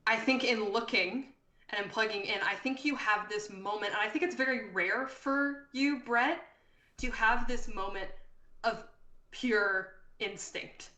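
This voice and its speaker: A woman running a tabletop game as game master, improvising narration and rulings in a deliberate way as she thinks aloud. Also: a little high, pushed and bright. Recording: roomy echo.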